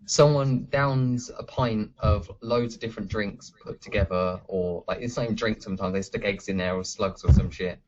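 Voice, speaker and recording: Relaxed man explaining a topic. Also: on the low side, normal speed, hardly any pauses. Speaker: podcast co-host. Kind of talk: casual chat, explaining a topic. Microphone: computer mic on an online group call. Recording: dull lo-fi online call audio.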